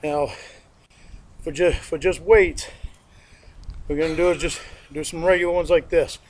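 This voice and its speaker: A man giving slow, repetitive workout instructions and counting out reps, sniffling a little. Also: a little high, steady tone, a little hiss or hum. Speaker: amateur workout video presenter. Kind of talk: homemade workout demonstration.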